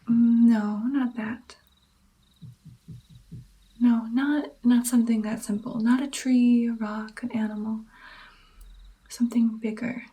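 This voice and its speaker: A woman playing a doting, playful female vampire mentor in an ASMR roleplay, speaking softly and breathily, often in a whisper, coaxing and encouraging. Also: a little high, low-quality recording. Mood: hushed, guiding. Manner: slow, coaxing, encouraging, lingering over phrases. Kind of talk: ASMR roleplay, personal attention.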